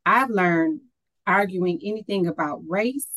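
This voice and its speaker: A woman's voice: fairly low pitch, a little slow, fairly bright tone, no room sound. A woman co-hosting a podcast, chatting with playful, joking asides. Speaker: podcast co-host. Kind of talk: conversational podcast chat.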